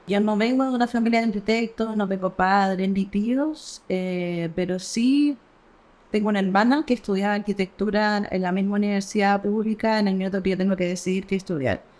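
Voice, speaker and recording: Reflective Chilean woman with hesitant pauses. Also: mid-range voice, a little mellow. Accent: Chilean Spanish. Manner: thoughtful, frequent hesitations and restarts. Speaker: architect and academic. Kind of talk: reflective conversational interview.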